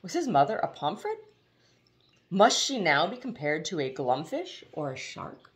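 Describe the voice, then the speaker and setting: A woman's voice: deep voice, wildly swooping pitch, a little slow, heavily acted. A woman voicing a protective, indignant young boy in a storybook, speaking bluntly and fiercely. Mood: fierce indignation. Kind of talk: storybook character reading.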